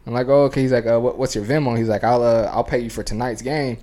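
A man co-hosting a casual podcast, telling rambling stories quickly with lots of fillers. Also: mid-range voice, steady tone, warm tone. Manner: fast, rambling storytelling with many fillers. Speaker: podcast co-host. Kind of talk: casual chat. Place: home podcast studio.

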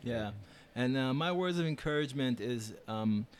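A man's voice: mid-range voice, expressive, normal speed, slight background noise. A man from Israel, speaking earnestly as a podcast guest, repeating phrases for emphasis. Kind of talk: casual chat.

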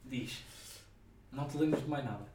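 A man's voice: medium pitch, moderate pace. A young man with a European Portuguese accent bantering casually as a podcast co-host, in slangy, rambling talk. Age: young adult. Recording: echoey room, distant mic, faint noise.

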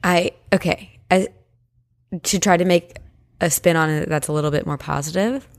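A woman co-hosting a podcast, chatting casually and reading stories in an expressive, reassuring, conversational way. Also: lowish voice, moderate pace. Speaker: podcast co-host. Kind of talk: casual paranormal podcast chat and story reading.

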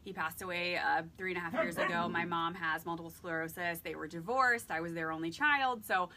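A woman's voice: fairly low pitch, brisk, very noisy. A woman in her thirties, a guest in a casual interview, telling her story earnestly. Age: 30s.

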